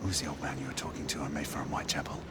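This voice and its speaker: An actor playing a sober, loyal knight in a video game, with a measured, formal way of talking. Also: male voice, a bass voice, level tone. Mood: sober, wary. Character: steadfast, sober male knight, loyal second-in-command. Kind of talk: video game cutscene acting. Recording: loud engine and ambient noise.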